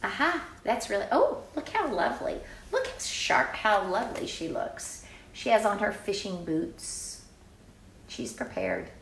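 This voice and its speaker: An older woman reading a picture book aloud to children in an informal way, with chatty, exclaiming asides and drawn-out emphasis. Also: mid-range voice, huge pitch swings, vocal fry, echoey room, slight background noise.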